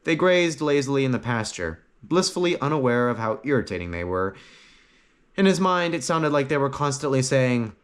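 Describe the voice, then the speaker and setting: Man narrating a story with lively, swooping pitch. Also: normal speed, clear, resonant tone. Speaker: audiobook narrator.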